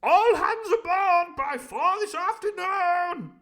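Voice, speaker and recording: A man voicing a red-faced, posh old English squire who bellows his lines slowly and pompously in a posh English accent. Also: very animated, bright, forceful tone, full character voice. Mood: bellowing. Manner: booming, slow and pompous.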